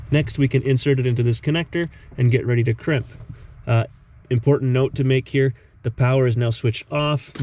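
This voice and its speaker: A man's voice: mid-range voice, darkish tone, slight background noise. A man narrating a casual hands-on DIY tutorial in a methodical, explanatory way. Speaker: host of a DIY car repair tutorial.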